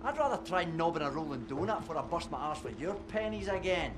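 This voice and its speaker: A man's voice: a little high, very animated, fast. An actor playing a cocky, grumbling British male antiquities expert in a game, sardonic and colloquial. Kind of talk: game cutscene acting.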